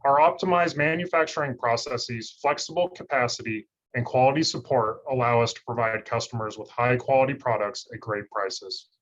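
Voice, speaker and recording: A man scientist giving a steady, monotone scripted webinar presentation over a video call. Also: mid-range voice, moderate pace, hardly any pauses. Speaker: industry research scientist presenting. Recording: slightly dull lo-fi call audio.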